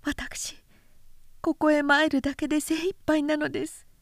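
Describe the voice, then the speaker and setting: A timid, refined young lady voiced by a woman reader speaks in a high, delicate, polite voice. It is soft storybook character acting, recorded dry and close. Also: level tone, measured pace, dry recording. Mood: anxious, hushed. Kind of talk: literary audiobook character voice.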